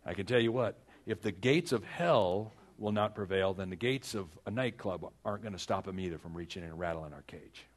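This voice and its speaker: A male pastor with a husky, fairly low voice delivers a sermon. He is earnest and exhorting, leaning on repeated phrases and dramatic pauses as he moves between personal stories and prayer.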